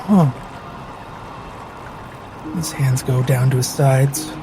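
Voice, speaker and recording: A man playing a tabletop game, narrating and voicing his character in a halting, understated way. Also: mid-range voice, noisy background. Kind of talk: slow roleplay and narration of own character.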